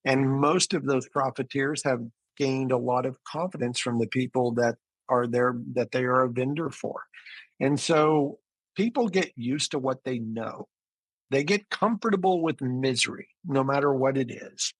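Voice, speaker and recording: A man's voice: mid-range voice, unhurried, no echo, rough recording. A man giving explanatory, conversational answers as an interview guest, often restarting his phrases. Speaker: interview guest, physician and business founder.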